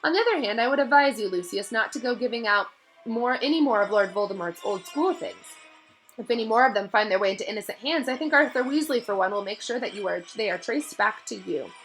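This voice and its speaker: A wise male headmaster from a storybook read by a woman, calm and measured. The voice is bright and carries gentle authority as it advises. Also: fairly high pitch, faint music behind, low-quality recording. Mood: gently warning.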